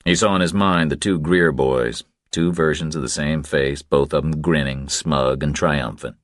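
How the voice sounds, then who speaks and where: A man narrating a crime thriller audiobook in a measured, terse storytelling manner. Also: very deep voice, fairly even intonation, no room sound, studio quality.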